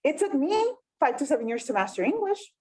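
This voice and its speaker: A woman whose first language is Spanish runs a community workshop with a fairly high voice. Her pitch jumps around a lot as she talks. She chats with the group and keeps asking whether they follow. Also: sing-song, fairly quick, darkish tone. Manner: chatty, often asks "right?" to check in. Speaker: workshop facilitator. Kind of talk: interactive workshop teaching. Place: meeting room, with some people online.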